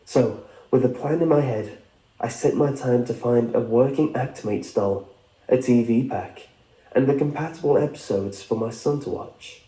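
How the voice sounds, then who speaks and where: A man's voice: mid-range voice, normal speed, warm tone, roomy sound, low-quality recording. A man reading a scripted first-person story as a narrator. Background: background music.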